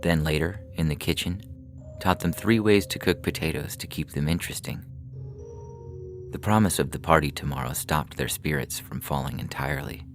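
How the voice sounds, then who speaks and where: A man narrates fiction in an extremely low voice. His reading is scripted and dramatic, a storyteller at work, with music playing softly behind him. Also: normal speed. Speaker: reader of short fiction on a podcast.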